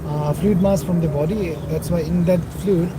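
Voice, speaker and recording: A young man with a husky, dry voice gives rambling, self-correcting commentary while driving through a city at night. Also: fairly high pitch, fairly even intonation, normal speed. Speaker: vlogger. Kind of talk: casual narrated drive vlog. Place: in a car on a city road at night. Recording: loud road and car noise. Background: traffic and engine noise.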